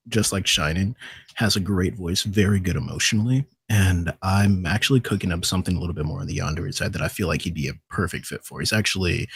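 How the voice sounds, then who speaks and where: A man chatting casually as a podcast guest in a measured, articulate manner. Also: sits low, gravelly, a bit bright, slightly rough, no echo. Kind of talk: casual chat.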